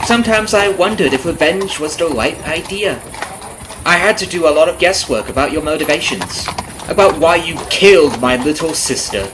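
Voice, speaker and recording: Vengeful male carriage driver, acted by a man, ASMR roleplay. Slightly high, very lively pitch; falsely friendly, taunting, menacing underneath. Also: background noise. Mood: bitter. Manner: taunting, conversational with rhetorical tag questions. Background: clip-clopping horse and carriage ambience.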